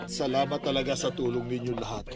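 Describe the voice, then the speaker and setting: A man's voice: medium pitch, fairly even intonation, fast talker, over loud music, lightly acted. An actor playing a devout, firm and grateful elderly Filipino shopkeeper and father in a religious radio drama. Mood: relieved, grateful.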